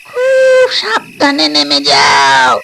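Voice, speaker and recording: A sly, menacing male boss from an audio horror story. The voice leaps very high and swings about, stretching words into slow, shrill commands. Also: wildly swooping pitch, creaky, pushed and bright, a little hiss or hum, full character voice, poor audio. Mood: commanding, harsh. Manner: drawn-out, commanding, shrill delivery. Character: menacing Chinese man, sly boss ordering two boys into work.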